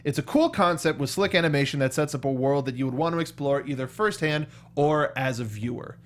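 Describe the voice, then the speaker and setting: A man's voice: a little high, a little fast. An American man hosting an unscripted film review chat, often repeating and restarting his words. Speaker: talk show host.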